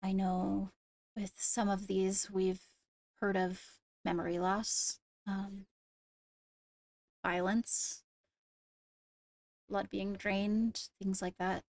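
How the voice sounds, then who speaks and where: A woman playing a poised royal ruler in an improvised game roleplay, speaking formally and carefully with pauses to find words. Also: mid-range voice, level tone, gravelly, a little mellow, no room sound. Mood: grave, measured. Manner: measured, formal, hesitant between phrases. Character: poised female royal ruler addressing her court. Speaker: leader of the meeting.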